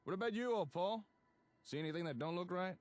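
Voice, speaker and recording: A man's voice: high-pitched, expressive, a little fast. An actor playing a folksy, easygoing man who organises a settlement and explains things in video game dialogue. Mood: genial, explaining. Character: folksy, genial male settlement organiser explaining building plots. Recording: clear background ambient noise.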